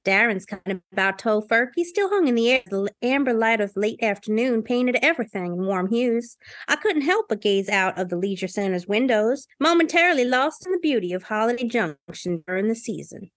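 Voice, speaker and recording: Female podcast co-host, fairly high voice, dry close sound, playful casual fiction narration. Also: expressive, brisk, no room sound. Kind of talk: casual read-aloud of a novel, with joking.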